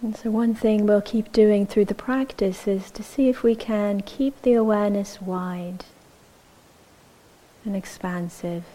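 A woman teaching meditation, giving gentle, measured instructions with long pauses between short phrases. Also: mid-range voice, fairly even intonation, low-quality recording.

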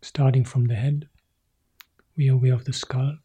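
A male monk guiding a meditation in soft, short phrases that often sink into a whisper. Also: mid-range voice, flat delivery, moderate pace, breathy edge. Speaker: Buddhist monk leading meditation. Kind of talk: guided meditation.

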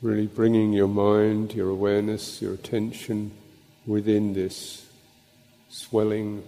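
A man teaching as a Buddhist monk, leading a guided meditation in a contemplative, halting way with pauses between short phrases. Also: lowish voice, little pitch movement, dark tone. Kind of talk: guided meditation and Dhamma talk. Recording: long reverberant hall echo.

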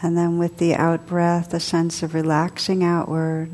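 Slow, mellow woman guiding a meditation. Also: fairly low pitch, completely flat delivery. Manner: soothing, unhurried, with long pauses between short phrases. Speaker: meditation teacher. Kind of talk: guided meditation, spoken to a group.

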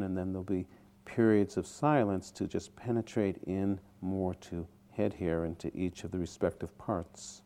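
Guy leading a guided meditation, deepish soft-edged mellow tone, really slow and deliberate. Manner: deliberate, with pauses between short phrases. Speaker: meditation teacher.

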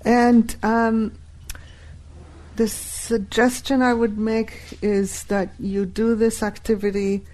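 A woman whose first language is Hebrew, teaching a live workshop and deliberately repeating key phrases. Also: a little high, little pitch movement, darkish tone.

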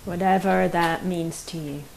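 A woman leading a guided meditation as a teacher, speaking gently and unhurriedly with long pauses. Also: lowish voice, steady tone, creaky, low-quality recording. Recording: faint hiss behind the voice.

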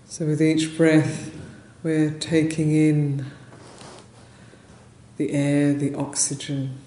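A woman leading a guided meditation as a teacher, speaking gently and unhurriedly in short phrases with long pauses. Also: low-pitched, completely flat delivery. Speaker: meditation teacher, Buddhist nun. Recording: roomy echo, faint background noise.

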